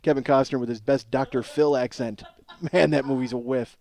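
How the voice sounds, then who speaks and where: A man in his forties with a slightly hoarse voice, hosting a podcast as a casual comedic monologue, rambling, profane and self-deprecating. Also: mid-range voice, steady tone, a little fast, a little mellow. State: slightly hoarse from strained singing. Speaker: podcast host.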